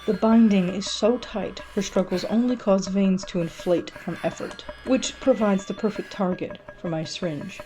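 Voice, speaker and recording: A woman's voice: medium pitch, moderate pace, hardly any pauses, warm tone, low-quality recording. A woman giving a cold, menacing, clinical first-person narration of a horror story. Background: music.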